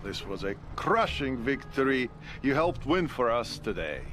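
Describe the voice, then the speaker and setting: An actor playing a self-important Soviet army major who talks in a dry, ironic way. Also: male voice, medium pitch, very animated, unhurried, a bit bright. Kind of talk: video game cutscene acting.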